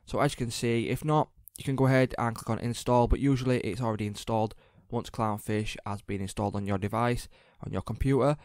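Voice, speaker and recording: A man presenting a casual, step-by-step software tutorial in informal, repetitive instructional phrasing. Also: medium pitch, steady tone, a little fast, hardly any pauses, no echo, studio quality.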